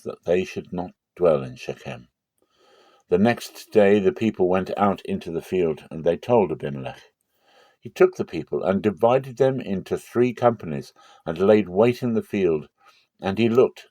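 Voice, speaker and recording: A man giving a dramatic scripture reading as narrator, with measured, solemn storytelling. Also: low voice, warm tone, dry recording. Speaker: Bible reader.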